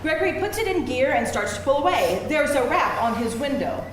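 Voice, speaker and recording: A woman narrates a script, reading scene headings and stage directions. Her voice is on the high side. The room echoes heavily, with faint noise behind her. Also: big pitch swings, a little fast. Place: live event room before an audience.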